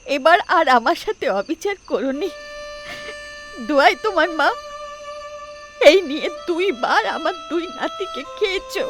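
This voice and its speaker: A woman's voice: very high voice, animated, a little slow, pauses often, over music. A woman voicing a stern, secretive elderly grandmother in a cartoon, with a West Bengali accent and an emphatic, insistent delivery. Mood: pleading, anguished. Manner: emphatic, insistent, repeats phrases. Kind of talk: dramatic cartoon voice acting.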